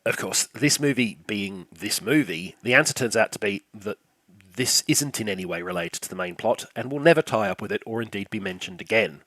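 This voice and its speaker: Older guy reviewing a movie, lowish voice, kinda croaky, dry and sarcastic, lots of uhs, dead dry sound. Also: animated, brisk, fairly bright tone, dry recording. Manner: dry sarcastic delivery with frequent "uh" fillers. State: slightly croaky from illness. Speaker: film reviewer host. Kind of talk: scripted review narration.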